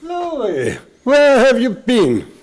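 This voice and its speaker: A man's voice: very high voice, wildly swooping pitch, extremely slow, darkish tone, heavily acted. A man playing a genial patrician American President in live radio theater, with a declamatory delivery. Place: live stage before a studio audience in a church.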